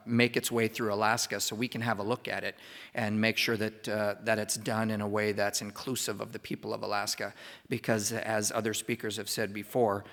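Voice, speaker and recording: A man from the United States making unscripted remarks on a conference panel in a halting, deliberate, earnest way. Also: on the low side, creaky, a bit bright. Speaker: panelist introducing speakers. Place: large indoor conference hall. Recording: echoey hall sound.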